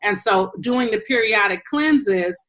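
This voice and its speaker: A woman hosting a podcast as a health coach, talking in an enthusiastic, unhurried way. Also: medium pitch, expressive, fairly bright tone. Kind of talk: casual podcast chat.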